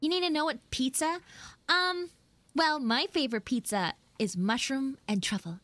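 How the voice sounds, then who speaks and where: A woman's voice: sits high, moderate pace, fairly bright tone, no echo. A woman voicing a flustered, shyly smitten tsundere schoolgirl in a live audio roleplay, hesitant and coyly defensive. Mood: flustered, chatty.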